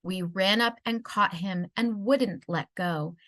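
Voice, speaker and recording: Woman, children's read-aloud, rhyming narration, slow pace, dry recording. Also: medium pitch, fairly bright tone, no echo. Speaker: storybook reader.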